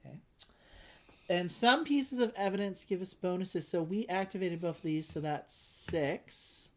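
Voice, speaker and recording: A woman with a fairly low voice talks through a board game as its host. She chats casually, with wry and bawdy asides. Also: measured pace. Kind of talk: casual chat and game commentary.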